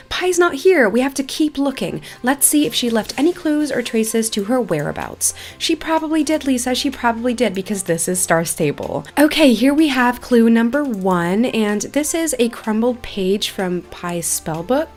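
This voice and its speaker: A woman's voice: a little high, animated, normal speed, few pauses. A woman hosting a gaming channel, chatting enthusiastically as she narrates her playthrough in a casual style. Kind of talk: excited game playthrough narration with casual chat.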